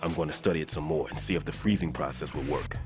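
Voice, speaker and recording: A man playing a weary, earnest older bomb disposal expert in a video game, speaking gravely and precisely. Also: extremely low pitch, fast. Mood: focused, grave. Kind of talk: dramatic video game voice acting. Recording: dramatic music under the voice.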